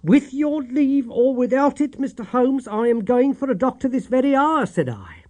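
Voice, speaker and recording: Man voicing an anxious, high-pitched London landlady. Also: lively intonation, moderate pace, darkish tone. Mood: distressed. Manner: distressed, deferential, earnest. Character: long-suffering, anxious, deferential London landlady, a woman.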